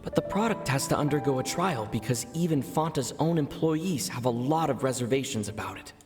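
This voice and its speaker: A man's voice: medium pitch, expressive, a little mellow, natural voice. Man as pensive young investigator over music. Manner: measured, pensive, explanatory. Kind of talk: video game character acting.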